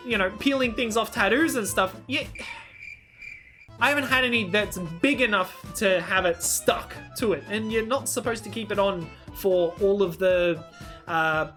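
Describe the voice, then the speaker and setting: Bright-voiced woman reacting to clips. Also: medium pitch. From Australia. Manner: rambling, self-interrupting, exclaiming in surprise. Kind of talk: casual reaction commentary.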